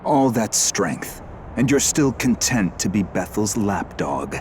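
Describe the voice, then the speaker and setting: A man's voice: lowish voice, big pitch swings, slow pace, slight background noise. A man playing a ruthless, zealous human warrior in a video game, contemptuous and commanding, with music behind him. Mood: grim, challenging. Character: ruthless, zealous human man who despises demons and weakness. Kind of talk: dramatic video game voice acting. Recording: loud game music underneath.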